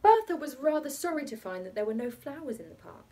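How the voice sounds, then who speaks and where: A woman voicing an unconcerned bachelor who invents a children's story in a matter-of-fact, deliberate way. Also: sits high, big pitch swings, dark tone, voice pushed up. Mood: storytelling.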